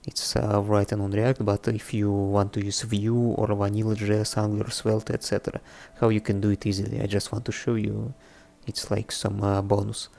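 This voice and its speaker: A man presenting a casual, unscripted coding tutorial, thinking aloud haltingly in non-native English. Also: on the low side, gravelly, darkish tone. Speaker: presenter of a programming tutorial series. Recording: faint background music under the voice. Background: faint music.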